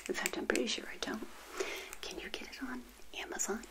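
An older woman whispering a gentle, playful ASMR roleplay as a witch hostess, speaking straight to the listener in a breathy voice. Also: a little high, fairly quick.

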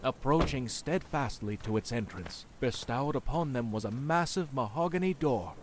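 A man's voice: medium pitch, lively intonation, moderate pace, noisy background, close to an everyday voice. A man playing a spooky narrator, telling a scripted horror tale with theatrical, ominous phrasing. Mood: ominous storytelling. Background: music.

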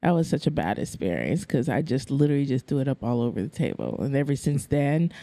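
A woman in her thirties, raised in the Philippines with Tagalog as her first language, talks frankly as a podcast guest. Her voice is dark and gravelly with fry, recorded close and dry on a studio mic. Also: a bass voice, very animated, no room sound. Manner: direct, matter-of-fact, quick laughs. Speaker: guest photographer. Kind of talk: casual interview chat. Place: bright studio room. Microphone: studio mic on boom arm, headphones.

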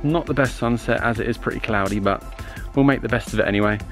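A man's voice: medium pitch, quick pace, soft background music. A young man chatting casually to a camera held at arm's length, narrating a travel vlog outdoors and in a campervan, with loose fillers. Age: young adult. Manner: relaxed, chatty, hesitant with fillers. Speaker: travel vlogger. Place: outdoors at a desert rock site and in a campervan.

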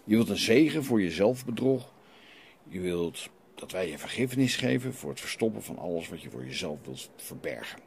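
A stern, defiant priest in a radio play acted by a man, with a low voice that swings expressively. He preaches and challenges with pointed rhetorical questions. There is light noise behind him. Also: big pitch swings, normal speed, vocal fry, a little mellow, exaggerated voice. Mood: reproachful. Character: stern, defiant renegade priest hearing confession.

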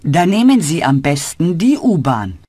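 A woman's voice: low voice, big pitch swings, measured pace, warm tone, breathy edge, no room sound, clean studio sound, full character voice. A woman voicing a helpful adult woman who gives short answers in a scripted learner dialogue.

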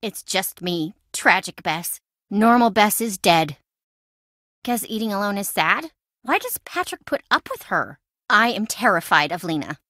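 A woman's voice: medium pitch, sing-song, measured pace, pushed and bright, no room sound, clean studio sound. An actress voicing a chatty, impulsive young woman in a video game, breezy, wry and self-dramatizing. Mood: breezy, dramatic. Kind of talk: video game voice acting, conversational.

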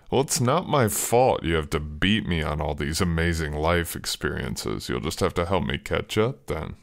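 A young man plays an affectionate, teasing boyfriend in an intimate ASMR style. His voice is extremely low with a gravelly fry. He murmurs slowly and tenderly, close to a dry microphone. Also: expressive, dry recording. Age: young adult. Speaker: audio roleplay performer playing a boyfriend.

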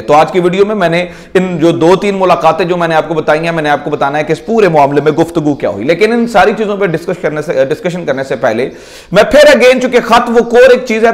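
Higher-pitched Pakistani man giving news commentary. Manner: explanatory, with rhetorical questions and English words mixed in. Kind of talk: informal news commentary to camera. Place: indoor room in front of wooden doors.

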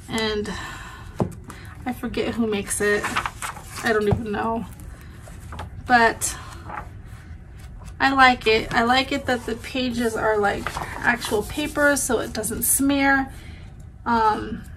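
Woman, 30s, head cold and stuffy nose, rambling home vlog chat, fairly high voice. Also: background noise. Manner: chatty, rambling, with frequent "um" and "like". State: stuffy and congested with a sore throat. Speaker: vlogger. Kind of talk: casual chat. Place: small home bedroom, indoors. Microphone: camera in front at close range.

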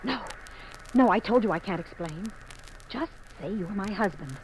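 A woman's voice: medium pitch, lively intonation, normal speed, darkish tone, lightly acted, rough recording. An actress playing an urgent, secretive young woman in a 1950s radio drama. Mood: urgent, pleading. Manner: pleading, insistent. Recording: old radio recording, faint noise.

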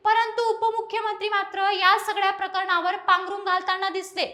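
Very high, bright woman news presenter. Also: flat delivery, normal speed. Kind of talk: scripted news explainer narration.

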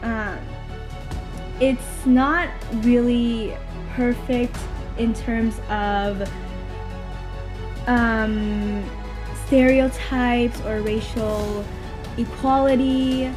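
A teenage high school student born in Vietnam, speaking thoughtfully in a documentary interview with hesitant pauses. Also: female voice, steady tone. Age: teens. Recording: music bed underneath.

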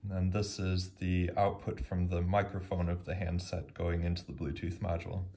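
Low gravelly man explaining a gadget. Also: level tone, normal speed, warm tone. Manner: plain, matter-of-fact explaining. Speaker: hobbyist maker showing his project. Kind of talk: casual tech demo narration. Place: indoors at home, over a wooden table.

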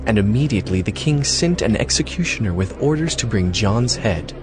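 A man narrating dramatized scripture as a scripted story, in a measured, solemn manner. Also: fairly low pitch, expressive, background noise.

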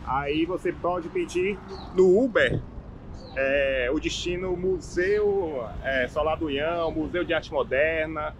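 A young Bahian man gives a chatty, upbeat walking tour outdoors, pointing out sights as he goes. His voice sits a little high for a man. Faint street noise hums in the background. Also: slow. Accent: Bahian Brazilian Portuguese. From Salvador, Bahia, Brazil. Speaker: travel vlogger. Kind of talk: casual walking-tour vlog. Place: outdoors on a seaside hillside street.

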